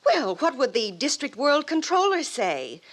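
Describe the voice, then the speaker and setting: A woman's voice: high-pitched, big pitch swings, measured pace. An actress playing a gossipy, conventional young woman who chides knowingly in an old radio drama. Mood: scandalized, chiding. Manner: knowing, chiding. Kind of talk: 1950s radio drama acting.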